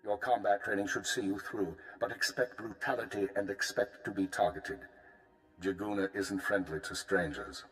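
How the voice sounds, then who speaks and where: A man as a calm, low-voiced spymaster. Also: normal speed, faint music behind, close to an everyday voice, low-quality recording. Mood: commanding. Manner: crisp, authoritative briefing. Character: male intelligence handler, calm and commanding. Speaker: spymaster giving orders. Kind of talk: video game voice acting.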